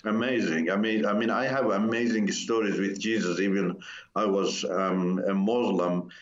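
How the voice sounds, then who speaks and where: Older man, native Turkish speaker, low voice, halting delivery, roomy echo, dull lo-fi audio. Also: fairly even intonation. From Iran. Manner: hesitant, searching for words, many pauses. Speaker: interview guest. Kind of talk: personal testimony in a conversation.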